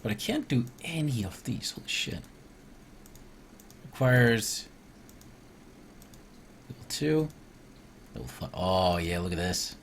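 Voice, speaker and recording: A man from Iceland doing casual live gameplay commentary, reading game text aloud and thinking out loud as he mutters to himself. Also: on the low side, sing-song, takes it slowly, creaky, long pauses, a little hiss or hum. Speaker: video game streamer.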